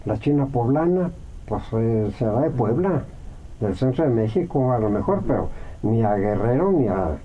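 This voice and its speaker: A Mexican man speaks as a guest on a radio interview, thinking aloud and weighing each point. His voice sits at an ordinary pitch. The recording sounds a little dull, with a faint hiss underneath. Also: expressive. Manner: reflective, thinks aloud with many pauses and fillers.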